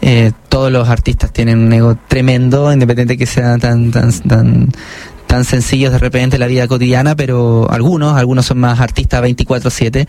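A Chilean man speaks as an author guest in a radio interview, with a voice of middling pitch. He talks thoughtfully and at length, pausing on fillers and often restarting his phrases. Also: little pitch movement, mellow, no room sound. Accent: Chilean Spanish.

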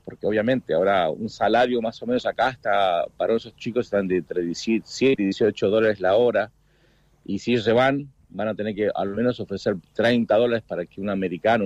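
An Argentine man with an Argentine Spanish accent, a guest talking casually over a video call, halting with many restarts and repeated words. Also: on the low side, darkish tone, no echo, dull audio. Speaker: guest, food business owner in the US. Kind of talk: casual interview chat.

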